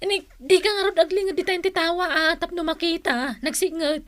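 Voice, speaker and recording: A woman's voice: extremely high pitch, fairly even intonation, brisk, a bit bright, no room sound. An actress playing a bossy, vain woman in a radio comedy drama, insistent and nagging. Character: bossy, vain woman hooked on Facebook likes.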